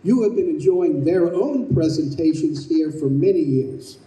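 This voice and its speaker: A man's voice: on the high side, expressive, a little slow, dark tone. A man giving a prepared introductory speech to an audience as the playwright and director of a show. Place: convention room, live on stage. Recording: roomy convention hall, distant microphone.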